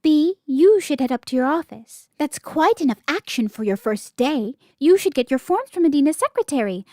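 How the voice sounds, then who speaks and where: A woman's voice: high-pitched, animated, normal speed, a little mellow, no room sound, studio quality. A woman playing a poised, flirtatious, slyly menacing HR officer in an audio drama, polished, coy and condescending. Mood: brisk.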